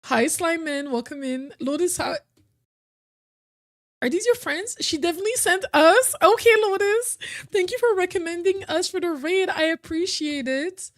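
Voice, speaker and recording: High-voiced chatty woman streamer. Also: moderate pace. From Quebec, originally Cameroon. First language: French. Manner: chatty, lots of "like" and repeats. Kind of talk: casual live-stream chat.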